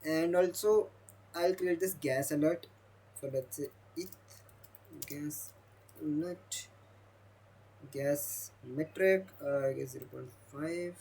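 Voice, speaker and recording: A young man, a Hindi speaker, walking through a demo of his own software while thinking aloud. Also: fairly high pitch, slow pace, vocal fry, pauses often, slightly rough. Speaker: software developer demoing his app. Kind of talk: screen-recorded product demo.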